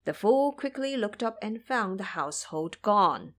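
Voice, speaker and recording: Expressive woman storyteller, lively intonation. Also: mid-range voice, unhurried. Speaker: narrator. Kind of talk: scripted storytelling narration for an audio drama.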